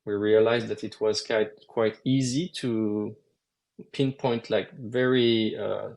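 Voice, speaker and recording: Male game designer, lowish gravelly voice, methodical explainer who pauses to find words. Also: steady tone, darkish tone. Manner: measured, explanatory, frequent hesitations. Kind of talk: explaining game mechanics in a live Q&A.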